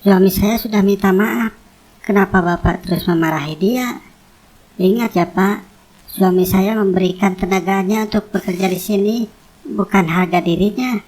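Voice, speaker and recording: A woman's voice: medium pitch, moderate pace, darkish tone, natural voice, low-quality recording. A woman playing a loyal, bold young wife in a cartoon drama, with some noise behind her. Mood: indignant, firm.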